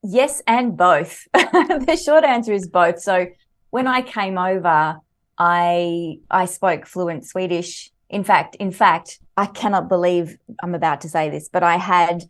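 Lively Australian woman telling a story. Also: lowish voice, slow pace. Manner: animated storyteller, laughs easily. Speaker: guest author. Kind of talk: conversational interview.

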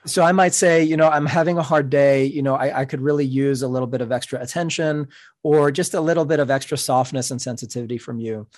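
A middle-aged man whose first language is Spanish, speaking as a podcast guest in an earnest, measured, explanatory way. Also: medium pitch, steady tone, a little mellow. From New York City. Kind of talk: reflective interview talk.

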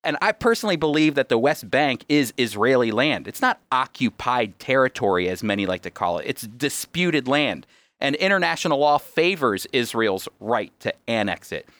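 A man's voice: medium pitch, very animated, normal speed, a bit bright, no room sound. A man with an American accent teaching on a radio program in an emphatic, explanatory way. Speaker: radio host and Bible teacher.

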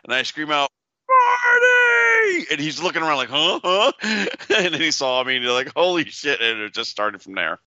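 A loud man co-hosting a podcast, laughing often and joking crudely. His voice swings widely in pitch and has a bright, sharp edge. The sound is dry and a bit dull. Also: huge pitch swings, normal speed, no room sound. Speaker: podcast co-host. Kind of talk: casual podcast banter.

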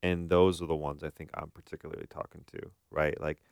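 A man's voice: rumbling low, little pitch movement, fairly quick, gravelly, a little mellow, no room sound, clean studio sound. A man co-hosting a talk show as a therapist, giving casual, explanatory advice and often asking questions to check for agreement.

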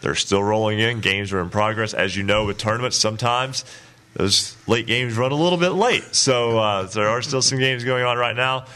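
A man hosting a high school sports radio show, chatty and laughing often, his voice a little rough from lack of sleep. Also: moderate pace, sharp tone.

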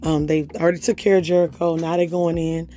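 A woman hosting a devotional podcast, talking hoarsely in a casual, conversational way and reading scripture aloud. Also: on the low side, flat delivery, fairly quick, mellow. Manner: conversational, reads scripture aloud, chatty fillers. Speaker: podcast host.

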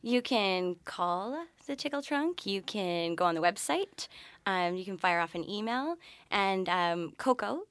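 A woman's voice: medium pitch, lively intonation, a little slow, fairly bright tone, smooth, no echo. A young woman answers interview questions as a podcast guest, casual yet articulate and explanatory. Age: young adult. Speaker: podcast guest, graduate researcher.

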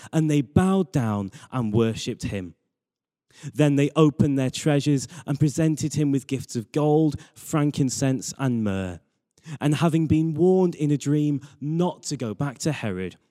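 A man's voice: on the high side, warm tone. A young British man giving an earnest, conversational sermon as a church preacher. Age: young adult. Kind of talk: sermon with Bible reading. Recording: long hall reverb.

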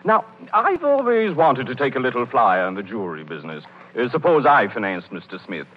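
An actor playing a genial, reassuring wealthy gentleman in 1930s radio comedy, courtly and a little hesitant. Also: medium pitch, sing-song, fairly quick. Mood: kindly. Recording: dull, noisy 1930s radio broadcast.

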